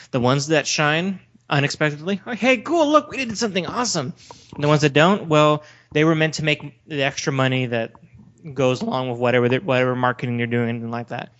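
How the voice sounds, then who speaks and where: Male podcast host with a fairly high voice, explanatory and blunt in casual debate. Muffled lo-fi recording. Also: a bit bright. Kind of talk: casual opinionated discussion. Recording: dull lo-fi recording.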